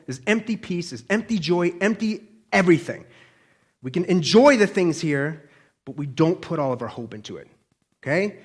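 Higher-voiced man preaching informally. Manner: colloquial, frequent checking questions to listeners. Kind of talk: informal conversational sermon.

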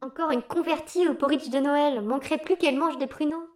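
High-voiced, grumbling Mother Christmas, played by a woman. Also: brisk, a little mellow, close to an everyday voice. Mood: offhand, wry. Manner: grumbling, sardonic, slangy. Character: Mother Christmas, fed-up sweary rebel housewife turned hacker. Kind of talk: comic audio fiction acting.

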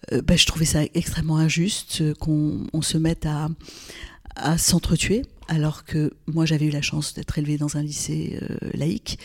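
A woman's voice: sits low, darkish tone, breathy edge. An older woman from Lebanon, a gynecologist speaking as a guest in an interview, thoughtful, with frequent hesitations and restarts. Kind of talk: reflective interview conversation.

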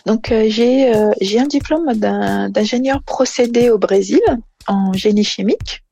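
A woman's voice: mid-range voice, measured pace, creaky, mellow, breathy edge. A woman from Brazil, an engineering manager answering interview questions hesitantly with many fillers and restarts.

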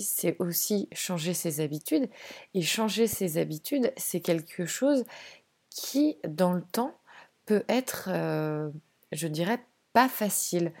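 A woman's voice: fairly low pitch, a little mellow. A woman talking casually in an interview, thinking aloud with many hesitations and restarts. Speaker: zero-waste association founder.

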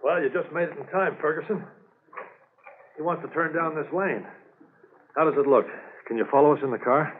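An actor playing a keen, businesslike police investigator and dog handler in a brisk, earnest 1950s radio drama style. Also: male voice, fairly high pitch, lightly acted. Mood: urgent, calling out. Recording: noisy, tinny 1950s radio transcription.

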